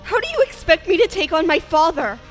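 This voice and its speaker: A woman's voice: very high-pitched, animated, brisk, faint music behind. A woman playing a timid young female fighter trainee in an anime fan drama, meek and faltering. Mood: anxious. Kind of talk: fan-made anime roleplay voice acting.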